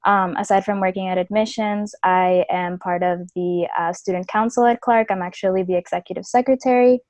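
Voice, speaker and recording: A woman's voice: mid-range voice, fairly even intonation, brisk, a little mellow, no echo. A woman in her twenties from Ecuador, raised in New Jersey, introducing herself chattily as a student panelist on a video call. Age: 20s. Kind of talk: casual self-introduction.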